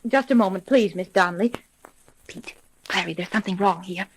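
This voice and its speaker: A mid-pitched female voice for a level-headed woman detective played by an actress in a 1930s radio mystery. She is brisk and composed, speaking earnestly and in a businesslike way as head of her agency. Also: a little hiss or hum, close to an everyday voice. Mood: urgent.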